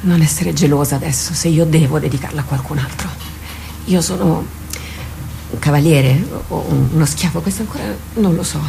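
A low-voiced, stubbornly loyal warrior captain. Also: female voice, moderate pace, mellow. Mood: solemn, resolute. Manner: solemn, earnest, theatrical delivery. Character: devoted woman warrior captain, stubbornly loyal, resigned to battle. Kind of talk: Italian radio drama acting. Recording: clearly noisy radio drama recording.

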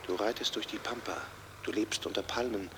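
An actor playing a probing, insinuating inner voice that prods quietly and repetitively in a radio drama. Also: male voice, on the low side, fairly even intonation, fairly quick. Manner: quiet, pointed, repetitive prodding. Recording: faint background noise.

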